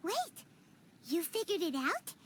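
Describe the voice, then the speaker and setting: A woman voicing a tiny, excitable, childlike fairy companion in a video game, squeaky, wide-eyed and exclamatory. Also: slow pace, background noise, exaggerated voice. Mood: curious, eager. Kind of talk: video game voice acting, cartoonish. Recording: loud music under the voice.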